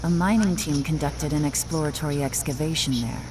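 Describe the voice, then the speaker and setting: A woman voicing a composed, analytical investigator in a video game, speaking in a measured, deliberate way. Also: low-pitched, background noise, natural voice.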